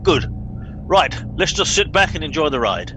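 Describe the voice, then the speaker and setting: A man's voice: fairly high pitch, very animated, a little slow, background noise. A man playing a pompous, impatient English spaceship captain in a comic radio play. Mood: self-important. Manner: pompous, officious, exasperated.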